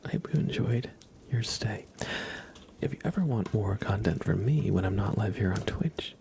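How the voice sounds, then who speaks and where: Creaky, whispery man doing soft ASMR. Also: fairly low pitch, lively intonation, normal speed, slight background noise. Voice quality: soft, breathy half-whisper. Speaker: livestream host, ASMR streamer.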